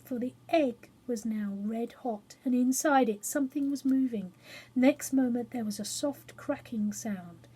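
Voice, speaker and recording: A woman reads a children's story in a fairly high, slightly husky voice. She is expressive, leaning on key words and pausing for drama. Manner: expressive, dramatic pauses and emphasis. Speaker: storyteller reading a children's book. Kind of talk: animated children's story narration.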